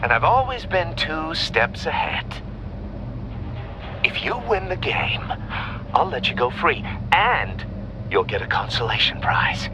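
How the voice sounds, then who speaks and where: Sadistic game villain, mid-pitched, swooping delivery, gloating, theatrical, mock-courteous, noisy playback. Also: male voice, sing-song, a little slow, pauses often. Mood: gloating, taunting. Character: sadistic, gloating male riddle-obsessed villain. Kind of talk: video game voice acting.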